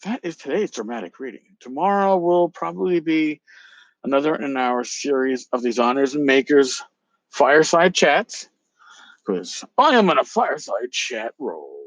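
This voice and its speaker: A man's voice: mid-range voice, lively intonation, moderate pace, dry recording, poor audio. Dry close-miked man, blunt podcast host. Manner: blunt, sarcastic, profane. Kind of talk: casual solo podcast with dramatic reading aloud.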